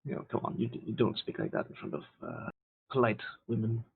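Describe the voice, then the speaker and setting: A man's voice: mid-range voice, very animated, fairly quick, gravelly, breathy edge. A man playing a tabletop roleplaying game online, bantering playfully and flirtatiously in character. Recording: tinny online call audio.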